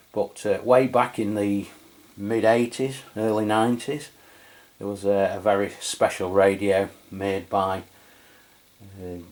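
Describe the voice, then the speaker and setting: A middle-aged man with an English accent presents a casual review. His voice sits fairly low and he speaks very slowly. The delivery is conversational and a little hesitant, as he feels his way through each point. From England. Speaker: radio review channel host. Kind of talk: casual product review commentary.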